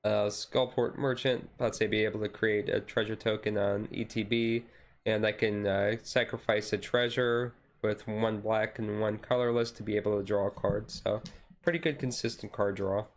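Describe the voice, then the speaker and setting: A man giving casual commentary on a card deck as a streamer, thinking aloud in a halting way. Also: fairly low pitch, fairly even intonation.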